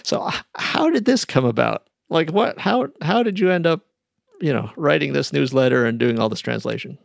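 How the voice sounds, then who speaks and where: Guy hosting the interview, gravelly, keeps restarting his questions, dry close sound. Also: a little high, normal speed, no room sound. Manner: lively questioning, frequent restarts. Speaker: podcast host.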